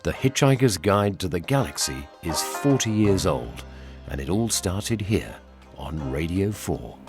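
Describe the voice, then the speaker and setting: Older man, British accent, deep voice, scripted radio narration, presenter, soft music bed. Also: sing-song, measured pace.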